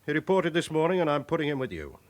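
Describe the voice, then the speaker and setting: A man acting a stern, brisk chief inspector in a British radio drama, authoritative and clipped in his delivery. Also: on the high side, sing-song. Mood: brisk, commanding. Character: stern, brisk male police chief inspector, dryly commanding.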